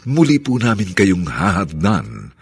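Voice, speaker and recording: A man's voice: sits low, wildly swooping pitch, measured pace, slightly rough, no room sound. A man announcing a radio drama in a formal, dramatic, scripted narrating style.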